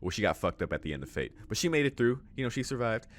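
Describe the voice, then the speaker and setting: A man's voice: mid-range voice, sing-song, fast. A man reading game text aloud and adding informal, exclamatory reaction commentary as a let's play host. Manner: informal, exclamatory asides between read passages.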